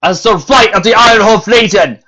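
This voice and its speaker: A man voicing a cruel male pony legion captain who booms commands slowly and theatrically. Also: pushed and bright. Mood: commanding. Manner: booming, commanding, slow and theatrical. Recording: dull lo-fi home recording.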